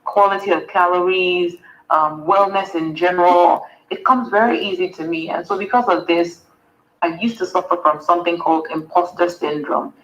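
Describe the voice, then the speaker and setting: A woman's voice: lowish voice, steady tone, normal speed, a bit bright, lo-fi sound, slight background noise. A Nigerian woman, a wellness coach, earnestly answering summit interview questions and repeating words for emphasis.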